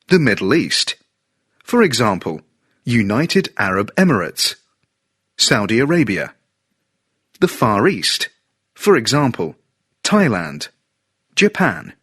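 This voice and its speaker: A man narrates a learner's lesson, reading place names one after another. His voice is somewhat husky and his pitch moves freely, at a slow pace. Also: a bit bright. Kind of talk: scripted narration.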